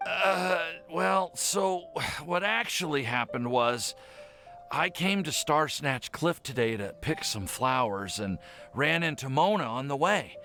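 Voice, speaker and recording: A man's voice: on the high side, lively intonation, slow pace, pushed and bright, faint music behind, full character voice. An actor playing a timid, lovestruck young man in an anime game, stammering and nervously apologetic. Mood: flustered, defensive.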